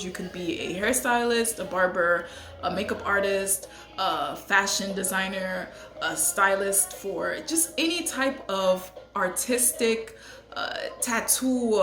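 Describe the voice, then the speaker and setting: A woman's voice: medium pitch, echoey room. A young adult woman who grew up in the United States, a hairstylist chatting casually and laughing often. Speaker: hairstylist and co-host. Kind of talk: casual chat.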